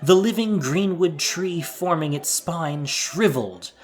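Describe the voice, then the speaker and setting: A man narrates an audio drama in a dramatic storytelling style. His pitch moves expressively up and down as he reads the scripted action. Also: animated, measured pace.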